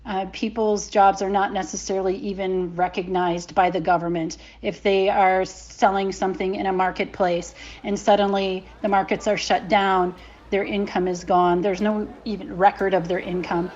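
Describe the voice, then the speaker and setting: A woman's voice: medium pitch, little pitch movement, moderate pace, darkish tone, lo-fi sound. A woman explaining thoughtfully and emphatically in a podcast commentary.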